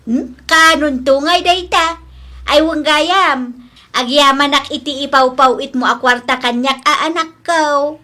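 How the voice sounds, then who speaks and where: An aging Ilocano mother , acted by a woman, speaks in a high, bright voice. She draws out her exclamations plaintively, in the manner of homespun comedy drama, and is easily offended. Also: unhurried. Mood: fond, wistful.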